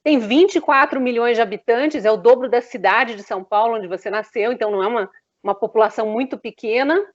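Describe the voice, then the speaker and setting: A woman's voice: on the high side, fast. A woman with a Brazilian Portuguese accent, hosting a conversational live journalistic interview over dull online stream audio.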